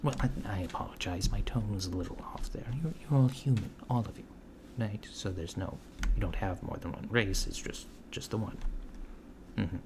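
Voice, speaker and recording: Soft-spoken, low-voiced man as an alien researcher. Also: natural voice. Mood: apologetic, musing. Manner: halting, inquisitive, matter-of-fact, often slipping into whispers. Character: curious, puzzled alien researcher questioning an abducted human. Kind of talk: soft-spoken ASMR roleplay. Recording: clear background noise with keyboard typing. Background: computer keyboard typing.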